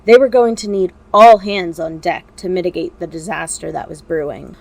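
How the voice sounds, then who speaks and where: A woman reading scripted fiction as an amateur narrator, with wry, expressive storytelling. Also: on the low side, normal speed, creaky, rough recording.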